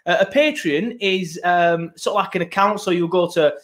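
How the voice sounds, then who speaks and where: A man's voice: high voice, normal speed, a bit bright. A man with a British accent hosting a podcast in a casual, rambling, matey way. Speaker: podcast host. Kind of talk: casual chat.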